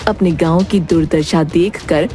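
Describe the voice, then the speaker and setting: A woman's voice: on the low side, unhurried, dark tone, soft background music. A woman narrator reading a scripted moral tale in a storytelling style.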